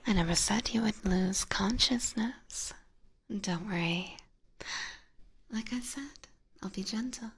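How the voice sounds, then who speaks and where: A woman's voice: mid-range voice, slow, pauses often, fairly bright tone. A woman streaming whispered ASMR roleplay in a playful, teasing, coy manner, close to a binaural microphone. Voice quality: breathy, whispery. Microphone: close binaural ear microphone.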